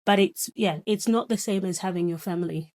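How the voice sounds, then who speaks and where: A woman in her thirties from Zimbabwe, a guest reflecting on her upbringing with a relaxed, thoughtful manner. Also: fairly low pitch, fairly quick. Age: 30s. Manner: reflective, many fillers and soft laughs. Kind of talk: casual chat.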